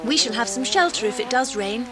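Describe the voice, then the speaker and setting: A woman playing a sensible girl in a children's audio drama, brisk and practical. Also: a little high, expressive, close to an everyday voice. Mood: alert, practical. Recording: noisy old cassette dramatisation.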